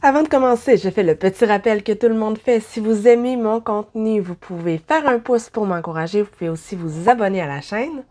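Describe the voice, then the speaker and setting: A woman's voice: medium pitch, brisk, hardly any pauses, a little mellow. A woman with a Québécois French accent, talking with a head cold, chatting through a casual book review vlog with self-deprecating asides. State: has a head cold, stuffy voice. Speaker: book vlogger.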